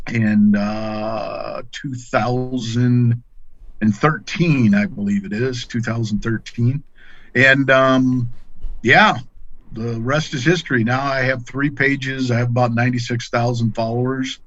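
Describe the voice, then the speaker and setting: A middle-aged man chats as a podcast guest in a folksy, plain-spoken style. His pitch is average. The sound is dry and a little dull, like lo-fi call audio. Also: a bit bright, no room sound. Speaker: podcast guest, angler and fishing pro staffer. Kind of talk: casual conversational storytelling.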